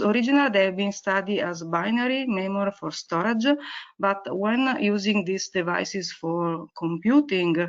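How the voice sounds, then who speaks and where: Woman researcher, non-native English, technical webinar lecture, halting delivery, muffled low-fidelity audio. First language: not English. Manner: halting, with frequent hesitations. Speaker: researcher presenting. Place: online webinar.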